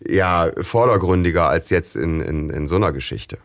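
A man's voice: low-pitched, moderate pace. A man co-hosting a fan podcast, arguing his view in casual chat with frequent restarts. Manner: argues his point with many restarts and fillers. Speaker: podcast co-host.

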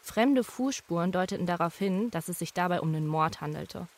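A woman's voice: fairly low pitch, expressive, a little fast. A woman playing a sociable, gossipy neighbour, telling things conversationally in an audio horror story. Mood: grave. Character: sociable female neighbour, chatty, darkly revealing local gossip.

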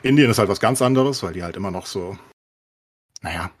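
A man's voice: low voice, a little fast, slightly rough. A man in a casual, opinionated discussion as a podcast co-host, talking colloquially with English swear words thrown in.

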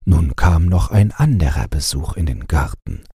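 A man narrating a bedtime fairy tale as an audiobook, in an expressive storytelling manner. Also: a bass voice, normal speed, husky, no room sound, studio quality.

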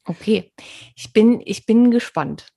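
A woman hosting a podcast, talking in an animated way and often repeating words as she goes. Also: fairly high pitch, unhurried, creaky, husky, no echo. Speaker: podcast host. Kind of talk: casual podcast conversation.

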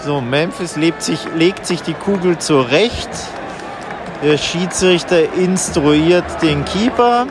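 High-voiced man reporting live from a stadium. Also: takes it slowly. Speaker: podcast host reporting live from a football match. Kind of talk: live on-site football commentary, casual. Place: outdoors in the stands of a large football stadium. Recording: outdoor stadium with crowd sound. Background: stadium crowd noise and music.

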